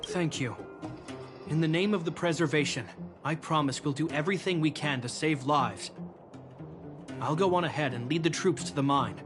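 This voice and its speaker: Man as an earnest, higher-voiced young commander. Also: normal speed, long pauses, over music, lightly acted. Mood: reassuring. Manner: formal, commanding. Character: earnest, duty-bound young military commander, resolute. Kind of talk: video game voice acting.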